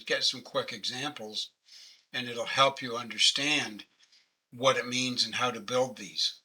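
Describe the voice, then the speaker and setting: An older Canadian man in his late sixties leads a webinar over a webcam call. His voice is very bright and clear. He talks in a patient, easygoing way and pokes fun at himself. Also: medium pitch, rough recording. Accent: Canadian English. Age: 60s. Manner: patient, conversational, self-deprecating. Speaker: webinar presenter. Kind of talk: casual online teaching. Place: home office. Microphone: webcam video call.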